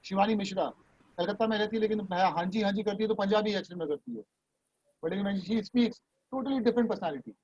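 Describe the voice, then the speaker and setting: A man with a high voice leads an online voice training class. He talks casually and reassuringly, switching between Hindi and English. The sound is dry and dull, like a lo-fi video call. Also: fairly quick, darkish tone, husky, dry recording. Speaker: voice and dubbing trainer.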